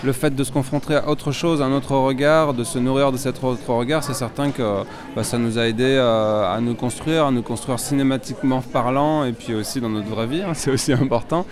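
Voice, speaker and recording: A man's voice: mid-range voice, fairly even intonation, fairly quick, few pauses. Man from France, filmmaker, hesitant with many fillers, busy crowd noise behind. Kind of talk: interview answers.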